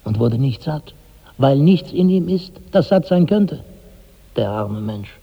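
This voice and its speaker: An actor in a 1960s German radio drama playing a troubled, puzzled companion and witness, husky and creaky, with theatrical searching delivery. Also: male voice, mid-range voice, expressive, measured pace, exaggerated voice. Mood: sombre, pitying. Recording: dull old radio recording, long reverb.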